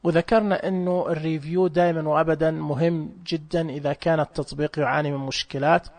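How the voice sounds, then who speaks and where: A man's voice: on the high side, flat delivery, fast talker, a little mellow, no echo. Chatty man explaining an app. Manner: chatty, explains step by step with hesitations. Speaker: app tutorial presenter. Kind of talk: casual screen-recorded app walkthrough.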